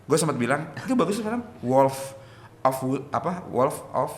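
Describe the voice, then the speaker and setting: A man, a film producer, chatting as a guest in a casual interview, telling stories in an animated way. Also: fairly high pitch, a little slow, echoey room.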